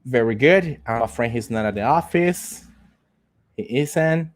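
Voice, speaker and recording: English teacher, man repeating phrases deliberately. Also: mid-range voice, animated. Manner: repeats phrases, slow deliberate emphasis on example sentences. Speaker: English teacher for call center trainees. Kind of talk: live online lesson, prompting students.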